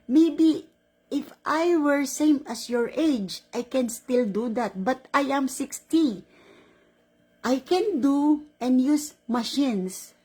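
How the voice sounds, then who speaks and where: A woman in her sixties with a Filipino accent, telling a caregiving story in a casual, animated vlog, laughing often. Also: high-pitched, slow, breathy edge. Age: 60s. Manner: animated, laughing, mixes Tagalog and English.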